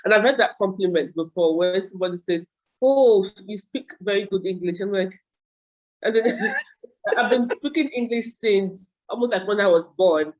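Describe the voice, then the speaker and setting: A woman's voice: mid-range voice, lively intonation, normal speed, darkish tone. Woman host on dull lo-fi audio. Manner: responsive, laughs easily. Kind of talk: casual interview chat.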